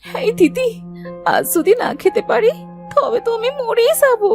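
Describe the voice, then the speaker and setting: A timid little orphan girl in a cartoon fairy tale. Her voice is extremely high and childlike, with a pleading, plaintive manner. Faint music plays behind it. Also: measured pace, creaky, full character voice. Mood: plaintive, fearful. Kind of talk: Bengali cartoon voice acting.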